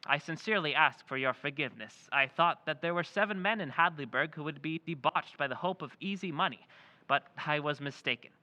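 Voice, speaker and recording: A man's voice: on the high side, a little fast, pushed and bright, lo-fi sound. A man playing a mysterious male stranger in an old-time radio play, speaking firmly and precisely. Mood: solemn. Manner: firm, precise, clipped.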